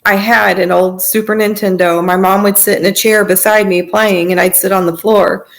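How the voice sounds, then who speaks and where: A woman telling her personal story as a podcast guest, in a conversational, earnest and halting way. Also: mid-range voice, level tone.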